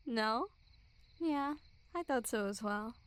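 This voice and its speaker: High-pitched teasing bully girl, acted by a woman. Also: lively intonation, very slow, clean tone, no echo. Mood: probing, teasing. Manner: mocking, teasing, giggly. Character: teasing, smug school bully girl, secretly a little caring. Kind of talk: ASMR girlfriend roleplay, intimate one-sided dialogue.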